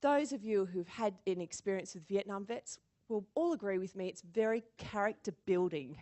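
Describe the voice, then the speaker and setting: A woman giving a motivational keynote speech as an animated storyteller who acts out quotes and laughs often. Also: mid-range voice, normal speed. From born in Singapore. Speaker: keynote speaker.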